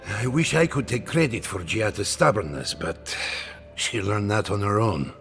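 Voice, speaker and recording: Fond old mentor, lively intonation. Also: male voice, fairly low pitch, moderate pace. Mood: fond, proud. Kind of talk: video game cutscene dialogue. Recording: music behind the voice. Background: game music.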